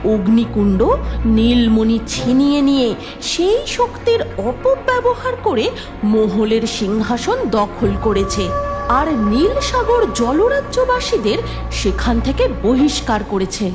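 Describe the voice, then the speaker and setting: A woman's voice: fairly high pitch, big pitch swings, takes it slowly, music in the background. A woman narrator reading a scripted story for a cartoon in a storytelling style.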